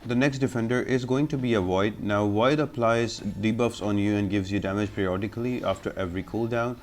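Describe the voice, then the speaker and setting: A man's voice: lowish voice, a little fast. A man giving explanatory gameplay commentary as a guide host, in an instructional, step-by-step manner.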